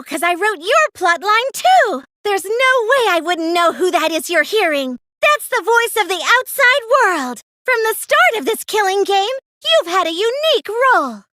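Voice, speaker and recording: Girlish game villain: extremely high, bright voice, theatrical, taunting, smug mastermind obsessed with fiction. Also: no room sound, studio quality, exaggerated voice. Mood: condescending. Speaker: video game voice actor. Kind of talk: scripted anime-style English game dub acting.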